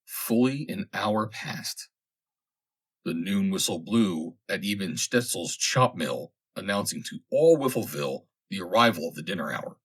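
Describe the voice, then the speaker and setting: A man narrates a humorous vintage tale in a rather low, husky voice. His pitch moves in animated swings, and he phrases things deliberately, with theatrical emphasis on key words. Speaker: audiobook narrator.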